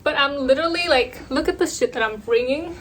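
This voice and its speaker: Chatty excitable woman in her twenties. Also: high voice, fairly quick, low-quality recording. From Vietnam. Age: 20s. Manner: chatty, excitable and self-mocking. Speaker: vlogger. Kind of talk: casual vlog chat. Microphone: phone.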